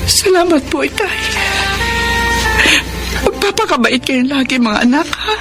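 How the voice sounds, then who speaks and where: A woman's voice: sits high, animated, measured pace, poor audio. A woman playing a devoted, long-suffering Filipino mother in a radio drama. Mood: tender, resolute. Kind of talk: radio drama acting with narration. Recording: loud music under the voice.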